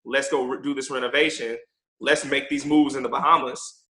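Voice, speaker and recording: A man's voice: mid-range voice, flat delivery, normal speed, sharp tone. A man from Chicago, a real estate investor and guest on a podcast interview, talking energetically and repeating words as he thinks.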